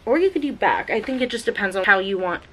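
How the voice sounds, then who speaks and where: A woman's voice: mid-range voice, expressive, fast talker, pushed and bright. A woman in her thirties, a vlogger, chatting casually about a clothing haul and her makeup, upbeat and thinking aloud with lots of "like"s, filmed at home on a camera mic. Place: bedroom at home, indoors.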